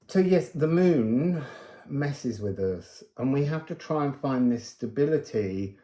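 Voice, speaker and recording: A man giving an unscripted spiritual card reading, musing aloud with pauses and emphatic phrases. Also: medium pitch, expressive, warm tone, roomy sound. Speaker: card reader and spiritual guide.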